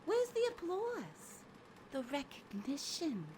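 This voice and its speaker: A woman's voice: high voice, huge pitch swings, slow pace, dark tone, exaggerated voice. A woman voicing a brash, scornful, persuasive magic hat in an audio drama, sardonic and theatrical. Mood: mocking, scornful. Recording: faint background noise.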